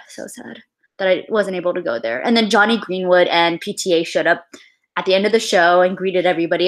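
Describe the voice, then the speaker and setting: A woman's voice: on the low side, fairly bright tone. A young woman hosting a casual film livestream, chatty and gushing, with lots of fillers and sighs.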